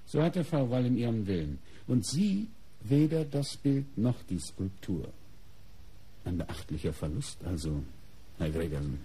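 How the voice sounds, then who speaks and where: Husky, probing police inspector. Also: male voice, fairly low pitch, big pitch swings, mellow, full character voice. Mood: coolly probing. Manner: measured, deliberate questioning with pointed pauses. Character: probing, coolly suspicious male police inspector. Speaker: actor in a radio crime play. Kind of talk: German radio crime drama acting.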